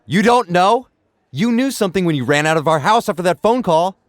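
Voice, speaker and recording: A worried dad played by a man speaks in a high, very animated voice, recorded dry. He is insistent and probing, in the manner of audio drama. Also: brisk, no room sound. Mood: suspicious. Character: worried, suspicious father questioning teenagers.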